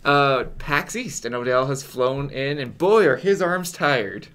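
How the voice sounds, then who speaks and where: A young man co-hosting a casual podcast, trading quick, jokey banter. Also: mid-range voice, fairly bright tone. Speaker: podcast co-host.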